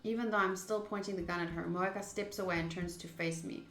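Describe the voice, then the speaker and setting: A woman reads a visual novel aloud on stream and comments casually as she goes. Her voice is bright and expressive, moving between measured narration and loud reactions. The room adds a clear echo. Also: on the low side, faint music behind. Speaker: game streamer.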